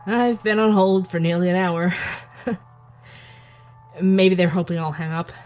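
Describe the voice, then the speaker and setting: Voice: mid-range voice, fairly even intonation, takes it slowly, a little mellow, soft background music, natural voice. An actor playing a persistent investigative podcast reporter in an audio drama, narrating in a dry, wry, conversational way. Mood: dry, resigned.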